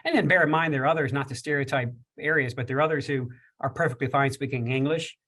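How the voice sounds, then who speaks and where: A man's voice: medium pitch, fast talker, fairly bright tone. A man speaking on a career panel in a loose, rambling way, restarting and repeating words often. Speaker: panelist from a business chamber. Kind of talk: casual panel discussion.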